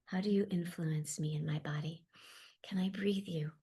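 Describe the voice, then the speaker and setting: A woman leading a guided meditation in a soft, slow, often half-whispered voice. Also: fairly low pitch, steady tone, slightly rough. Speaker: meditation leader.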